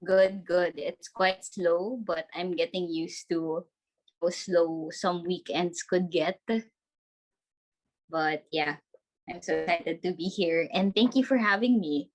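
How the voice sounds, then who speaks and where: A young woman from the Philippines chats as a guest over a laptop video call from her bedroom. Her voice sits a little low for a woman. She talks in a bubbly way, laughing often and scattering "like" through her sentences. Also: fairly even intonation, slow, poor audio. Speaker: podcast guest. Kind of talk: casual video-call interview.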